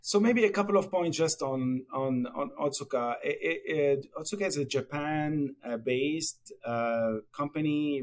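A middle-aged man from Lebanon with a Lebanese accent, answering as a guest on a podcast interview, speaking haltingly with many fillers and restarts. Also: mid-range voice. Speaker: pharmaceutical company executive, guest.

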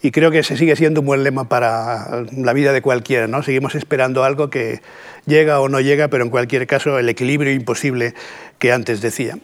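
A middle-aged man from Catalonia with a Catalan Spanish accent, giving a measured, reflective poetry lecture with pauses and rhetorical asides. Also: medium pitch, steady tone.

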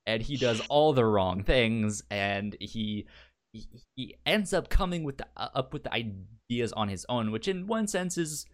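Halting man in casual podcast chat. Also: medium pitch, expressive. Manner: halting, repeats words as thoughts form, acts out quotes. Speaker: podcast co-host. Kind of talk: casual chat about a TV show.

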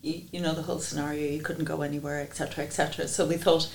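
A woman in her fifties from Jersey explaining plans in a measured way, recorded in an echoey room with faint noise. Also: low-pitched, level tone, vocal fry. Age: 50s. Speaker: guest.

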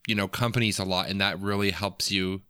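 A man's voice: sits low, little pitch movement, a little fast, pushed and bright, clean tone, no echo, studio quality. A man in his late twenties chatting casually in an easygoing, informal way. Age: late 20s. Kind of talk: casual chat.

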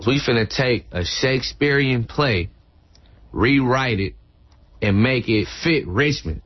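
A man's voice: mid-range voice, animated, takes it slowly, dry recording. Muffled man in a documentary clip. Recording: muffled film clip with music. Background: music.

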